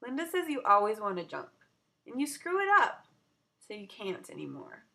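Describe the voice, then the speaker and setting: A woman's voice: a little high, wildly swooping pitch, moderate pace, vocal fry, pushed and bright, exaggerated voice. A woman reading the part of a blunt, dismissive young schoolgirl in a children's story. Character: blunt young schoolgirl dropping her friend.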